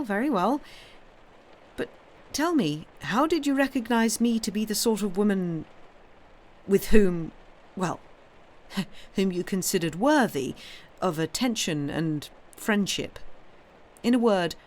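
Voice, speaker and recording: A woman voicing a young woman in an audiobook, frank and guarded, gently teasing, with a hesitant delivery. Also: medium pitch. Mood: curious, teasing. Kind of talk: dramatised audiobook reading.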